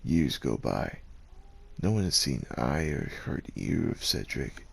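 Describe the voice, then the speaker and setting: A man's voice: extremely low pitch, very animated, takes it slowly, creaky, breathy edge. A man narrating a scripted ASMR story as a voice actor, addressing the listener directly.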